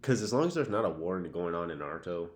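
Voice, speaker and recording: A man's voice: sits low, expressive, fast talker. A man co-hosting a podcast, talking casually and matter-of-factly in slangy, sometimes profane language. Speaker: podcast co-host. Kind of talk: casual banter, would-you-rather questions.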